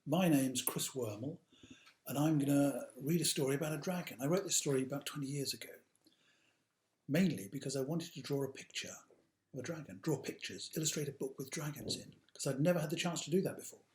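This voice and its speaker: A man reading a picture book aloud to children, with dramatic drawn-out emphasis and hushed asides. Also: on the high side. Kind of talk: animated children's storytime narration. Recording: roomy, echoey sound.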